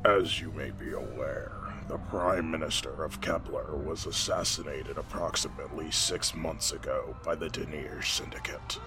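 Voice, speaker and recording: A man acting as an authoritative military general in a sci-fi audio drama, speaking in a formal, measured, solemn way. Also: extremely low pitch, steady tone, over music. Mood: grave, commanding.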